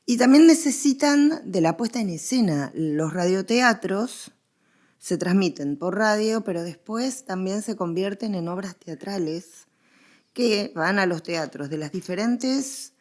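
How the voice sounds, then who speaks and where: A woman's voice: medium pitch, lively intonation, measured pace, darkish tone. A woman with an Argentine accent explaining her subject in a conversational interview, stressing points with repetition and examples. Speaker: interviewed researcher of radio history.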